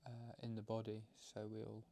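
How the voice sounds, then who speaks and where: A man leading a guided meditation, explaining it gently in halting, unscripted phrases. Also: fairly low pitch, completely flat delivery, gravelly. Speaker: meditation teacher.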